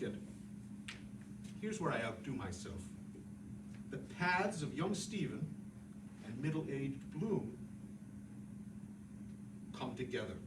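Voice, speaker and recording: A man playing a genial, self-satisfied Irish novelist who tells his story to an audience with chatty, wry asides. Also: on the high side. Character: James Joyce, a genial, boastful, digressing Irish novelist. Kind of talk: theatrical storytelling monologue to an audience. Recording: echoey, distant, noisy room recording.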